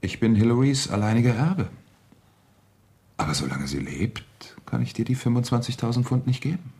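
An actor playing a smooth, evasive husband who schemes over money in a radio crime drama. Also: male voice, mid-range voice, sing-song, moderate pace, dark tone. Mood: tense, scheming.

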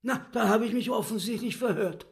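An actor playing a vain, sulky trickster tomcat in a radio play, with a husky, creaky voice and pompous, wordy delivery. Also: male voice, high voice, brisk, exaggerated voice. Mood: scheming, blustering. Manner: pompous, wordy, mock-dignified.

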